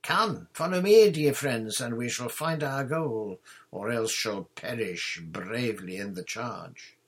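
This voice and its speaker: A man voicing a short, squat, hot-headed knight in an audiobook, yelling in grandiose, archaic chivalrous speech. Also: medium pitch, animated, slow, husky, exaggerated voice. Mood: gallant, rousing. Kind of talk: audiobook character voice.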